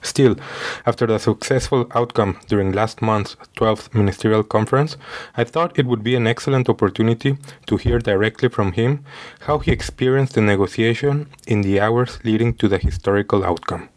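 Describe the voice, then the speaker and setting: A man's voice: lowish voice, brisk. A man hosting his own interview podcast, reading a prepared introduction with small stumbles and restarts, then asking his guest questions. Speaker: podcast host.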